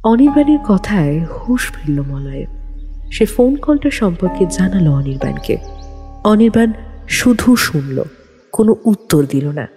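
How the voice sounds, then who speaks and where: A woman's voice: on the low side, sing-song, unhurried, mellow. A woman narrating a detective story from a script in a dramatic style. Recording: long studio reverb, music underneath.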